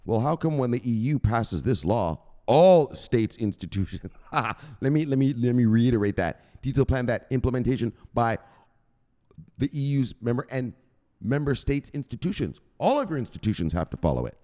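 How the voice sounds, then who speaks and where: A man's voice: mid-range voice, big pitch swings, moderate pace, warm tone. A man hosting a crypto news channel, ranting through the headlines in a profane and emphatic way.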